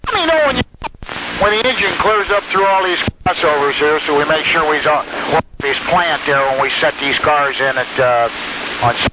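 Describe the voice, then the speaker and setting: A railroad crewman with a high voice for a man, buried in loud static on a two-way radio. His talk is terse and practical, and the scanner recording is dull and lo-fi. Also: expressive, brisk. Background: heavy radio static and noise.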